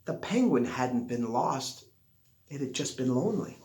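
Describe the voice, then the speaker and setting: Slow, animated man reading a picture book. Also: mid-range voice. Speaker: storybook reader. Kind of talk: expressive children's picture book read-aloud. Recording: echoey room.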